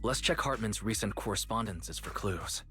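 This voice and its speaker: An actor playing a calm, practical young man who talks in an even, matter-of-fact way, in game audio with music behind. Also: lowish voice, moderate pace.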